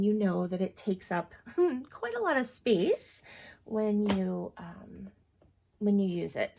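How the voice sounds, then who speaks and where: A woman's voice: mid-range voice, vocal fry, a little mellow, a little hiss or hum. A woman hosting a crafting tutorial at home, chatting casually with lots of ums and asides, a little sniffly from allergies. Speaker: crafting channel host.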